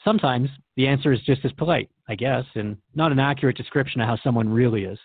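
A man's voice: mid-range voice, fast, no echo, phone-quality sound, natural voice. A man playing a curious, polite radio host in an audio drama, interviewing in a courteous, conversational way.

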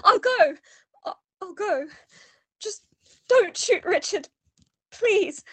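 A woman acts an innocent, distressed gentlewoman in a radio play. Her voice is extremely high and lively in pitch, earnest and emotional, in a dry recording. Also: takes it slowly, no echo, heavily acted. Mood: horrified pleading. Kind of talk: melodramatic radio play acting.

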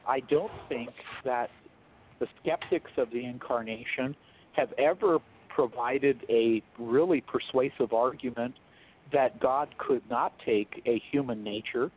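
A man speaking as a guest theologian in an interview, explaining in a measured, thoughtful, scholarly way over a thin phone-like line. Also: mid-range voice, a little hiss or hum. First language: English.

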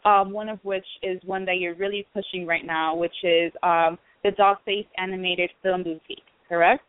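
Smooth-voiced woman host, narrow-band sound. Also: lowish voice, fairly even intonation, moderate pace, dry recording. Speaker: interview host. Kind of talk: casual interview. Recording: narrow-band radio-like phone sound, dry.

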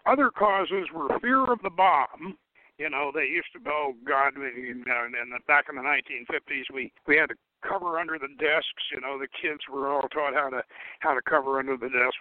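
An older man hosting a radio show, reviewing a book in a monologue that mixes scripted passages with halting asides. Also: fairly high pitch, no echo, phone-quality sound.

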